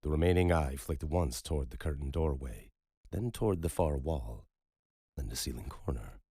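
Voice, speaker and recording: A man narrating a dark thriller audiobook in a measured, moody storytelling manner. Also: a bass voice, lively intonation, husky, no echo, clean studio sound.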